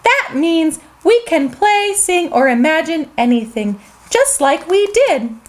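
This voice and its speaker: A woman's voice: high-pitched, big pitch swings, slow, exaggerated voice. A woman voicing a friendly little puppet monster, explaining things helpfully in a storybook reading.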